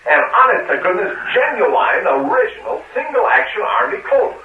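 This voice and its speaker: An actor playing an eager, gushing, boastful salesman husband in a 1950s radio drama, talking loudly. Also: high voice, big pitch swings, normal speed, reverberant, narrow, tinny audio. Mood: excited, boastful. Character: excitable, boastful husband, a cheerful male salesman. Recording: noisy, thin, distant old radio recording.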